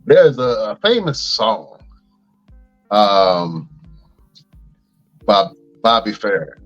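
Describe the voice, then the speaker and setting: A man's voice: lowish voice, big pitch swings, extremely slow, muffled recording, faint music behind. Laid-back slangy male podcast co-host. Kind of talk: casual podcast chat.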